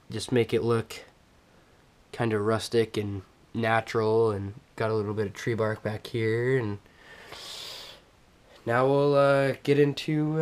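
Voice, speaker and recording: Young man, head cold, stuffy nose, unhurried halting delivery, filmed at home on an action camera. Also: mid-range voice, clear, resonant tone. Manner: halting, many fillers and restarts. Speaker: vlogger. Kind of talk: casual show-and-tell vlog. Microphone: action camera on a tripod.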